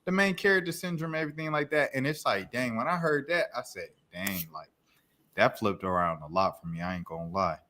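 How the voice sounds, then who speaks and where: A man's voice: mid-range voice, animated, brisk, sharp tone. A young man co-hosting a podcast, talking in a loose, rambling, slangy way with many restarts. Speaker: podcast co-host. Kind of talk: casual chat.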